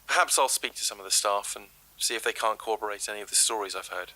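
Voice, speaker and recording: A curious folklore professor in an audio drama. His voice is lowish and very crisp-edged, forceful in tone. He dictates field notes in formal, wordy sentences. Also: fast talker, rough recording. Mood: curious, measured. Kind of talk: scripted field-diary narration on tape.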